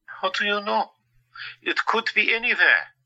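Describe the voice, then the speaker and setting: An actor playing a gentle, tormented young man in an asylum, pleading and emotional, in an audio drama. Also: sits high, lively intonation, unhurried, lo-fi sound, heavily acted. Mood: worried. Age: young adult. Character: gentle, tormented young male asylum patient, anxious friend.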